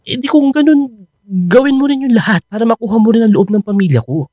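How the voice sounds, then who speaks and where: Animated, earnest young Filipino boyfriend. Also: male voice, very high voice, quick pace, no echo, clean studio sound. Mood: pleading. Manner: pleading, coaxing. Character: young man, earnest boyfriend, reassuring yet exasperated. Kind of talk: Filipino radio drama acting.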